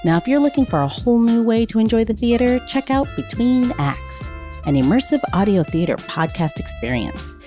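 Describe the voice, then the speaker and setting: A woman announcer reading a scripted promo in a smooth, promotional manner. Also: a little high, big pitch swings, moderate pace, dark tone. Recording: music bed behind. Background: faint guitar music.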